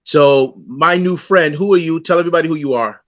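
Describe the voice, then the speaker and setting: A man's voice: on the high side, brisk, no room sound, muffled recording. A man from the Bronx who publishes local newspapers, hosting a community interview with friendly, loose, rambling questions. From the Bronx, New York City.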